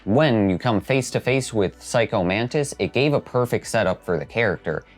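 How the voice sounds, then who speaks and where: A man with a fairly low voice hosts a video essay. He reads scripted narration over background music. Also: lively intonation, normal speed.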